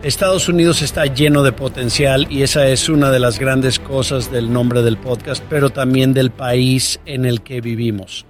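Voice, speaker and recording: A man's voice: mid-range voice, fairly even intonation, moderate pace, few pauses, faint music behind. A man hosting a podcast interview, talking in an earnest, upbeat way as he welcomes and questions his guest. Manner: earnest, upbeat, patriotic praise. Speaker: podcast host.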